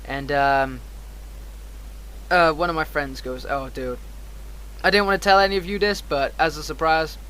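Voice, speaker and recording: A man casually telling a rambling, animated anecdote on a livestream, acting out the dialogue and swearing often. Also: a little high, normal speed, pauses often. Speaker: streamer. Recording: clearly noisy background.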